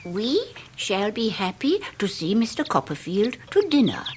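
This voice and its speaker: A woman's voice: mid-range voice, big pitch swings, a little slow, a little mellow, slight background noise. A woman playing a stiff, genteel older spinster in a radio drama, clipped and formal in manner. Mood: stiff, exacting. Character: stiff, genteel, rule-setting spinster elder sister.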